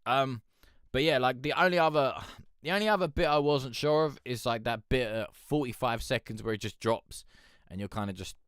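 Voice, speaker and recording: A man with a deep voice hosting a music review show, talking frankly and loosely, swearing and rambling with lots of fillers. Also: fairly bright tone, clear, resonant tone, dry recording, clean studio sound. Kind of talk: casual chat reviewing submitted songs.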